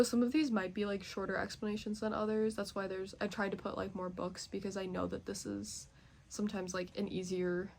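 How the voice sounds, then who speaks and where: A woman's voice: mid-range voice, gravelly. A young woman chatting casually as a book vlogger, nasally congested and rambling with many fillers. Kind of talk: casual chat.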